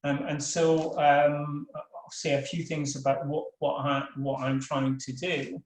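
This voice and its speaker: An older Scottish man speaks over a video call with a fairly high voice, his sound bouncing around a room that rings. He talks frankly and a little self-deprecatingly, stopping for ums and restarting his sentences. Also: level tone, unhurried, roomy sound, low-quality recording. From Scotland. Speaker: professor of world politics. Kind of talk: webinar talk.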